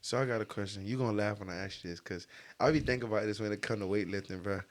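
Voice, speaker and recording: Male podcast host, lowish pitch. Casual interviewer, slangy delivery, playful teasing manner. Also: very fast.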